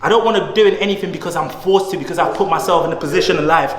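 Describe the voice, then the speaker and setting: A man's voice: a little high, very fast, fairly bright tone. Emphatic slangy man in an echoey room. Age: 30s. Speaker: guest, entrepreneur. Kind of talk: casual conversation. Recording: echoey room with long reverb.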